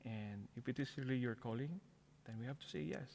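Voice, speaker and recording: A man's voice: medium pitch, a little fast, vocal fry, a little mellow, a little hiss or hum. A middle-aged man with a Filipino accent, talking conversationally as an interview guest and telling personal stories. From Philippines. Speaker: guest, parish outreach coordinator. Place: church, before the altar. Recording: long echoey reverb of a large hall.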